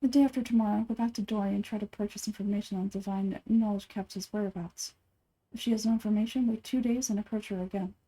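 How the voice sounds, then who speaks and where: A woman voicing an aloof, calculating male scholar from a video game, speaking in a formal, measured, clinical way. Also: medium pitch, fairly even intonation, mellow, natural voice, rough recording. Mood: businesslike, composed. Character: aloof, scholarly young man, calculating and composed researcher. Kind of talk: game dialogue read aloud.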